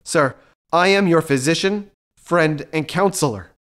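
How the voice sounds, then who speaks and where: A man plays an earnest physician and counselor in a period audio comedy. His slightly high voice is formal and measured, reasoning persuasively like a gentleman. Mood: earnest, soothing.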